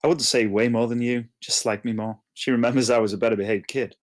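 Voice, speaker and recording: A man's voice: medium pitch, fairly even intonation, fast talker, natural voice. A man playing an easygoing young best friend, wry and bantering, in a screenplay table read. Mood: teasing.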